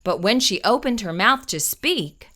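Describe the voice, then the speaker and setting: Woman, children's storyteller, slow read-aloud, bright, lively. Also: fairly high pitch. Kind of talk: reading a picture book aloud.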